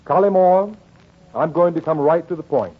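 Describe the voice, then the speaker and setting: A man's voice: a little high, moderate pace, slightly rough. A man playing an indignant, intolerant civic board member, blunt and scolding, in 1940s radio drama style. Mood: indignant, threatening. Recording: tinny, narrow 1940s radio recording.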